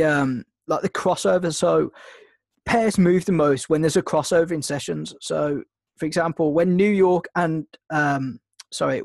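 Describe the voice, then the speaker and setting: A man's voice: on the high side, creaky, no echo. A man with a slight cold, casually teaching a trading webinar, rambling with lots of ums and restarts. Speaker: trading mentor hosting a webinar. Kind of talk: casual screen-share teaching.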